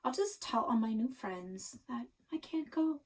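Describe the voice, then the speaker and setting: A woman's voice: on the high side, animated, a little slow, a little mellow, distant mic, pitched above the performer's own voice. A woman voicing a scheming, dramatic teenage girl with a wheedling, falsely resigned manner in a storybook. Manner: theatrical, casual teen phrasing.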